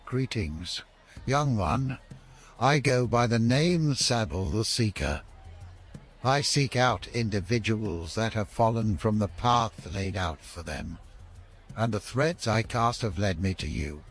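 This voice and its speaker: Casting: grave fantasy wanderer, gravelly and unhurried, mysterious, solemn, for an audio role-playing game. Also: male voice, lowish voice, animated, faint music behind, heavily acted. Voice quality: gravelly, husky. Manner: slow, solemn, portentous. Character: cloaked mystical seeker, a grave old-sounding male stranger.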